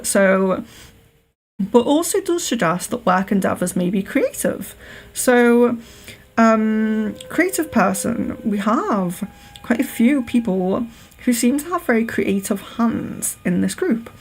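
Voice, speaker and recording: English woman w a bit of liverpool accent doing a palm reading stream, careful clear phone voice, lots of ums. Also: medium pitch. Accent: Liverpool, slight. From England. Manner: careful clear telephone voice, many ums and restarts. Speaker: psychic livestream host.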